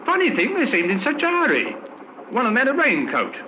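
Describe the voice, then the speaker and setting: Decrepit old male driver, high and animated, muffled vintage radio drama. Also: fairly quick, full character voice. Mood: eager. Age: older. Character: decrepit elderly van driver, eager and surprised witness. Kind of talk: 1950s radio crime drama acting. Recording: noisy dull old radio recording.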